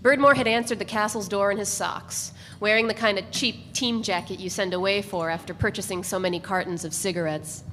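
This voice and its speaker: A woman gives a dramatic reading of literary fiction as its narrator. Her voice is mid-pitched, carried in a reverberant hall with some noise behind it. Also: steady tone, a little fast, hardly any pauses, a bit bright.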